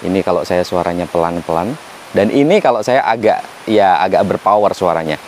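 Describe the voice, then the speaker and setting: A man with a stuffy, nasal voice from a cold, explaining microphone setup in a relaxed, chatty tutorial. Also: deep voice, a little fast, low-quality recording. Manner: relaxed, chatty, frequent tag questions. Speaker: tech reviewer teaching mic setup.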